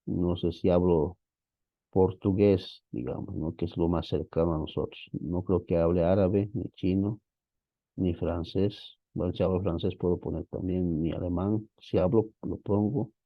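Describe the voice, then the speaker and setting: Low, gravelly man teaching casually. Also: steady tone, a little slow, no echo, dull audio. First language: Spanish. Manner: casual, thinking aloud, frequent "¿no?" tags. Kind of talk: screen-share software walkthrough.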